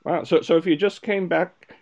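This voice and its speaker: A man's voice: a little high, fairly even intonation, normal speed, darkish tone, muffled recording. A man co-hosting a podcast, reading a scripted intro and then asking interview questions, stumbling and repeating words as he asks. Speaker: podcast co-host.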